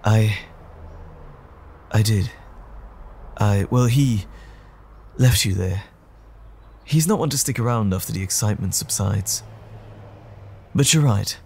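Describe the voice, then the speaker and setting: A man playing a polite, guilt-ridden gentleman teacher in an audio drama, speaking earnestly and hesitantly in formal phrases, with a breathy, husky voice. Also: on the low side, slow, pauses often, a little hiss or hum. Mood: remorseful, earnest. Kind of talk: audio roleplay drama acting.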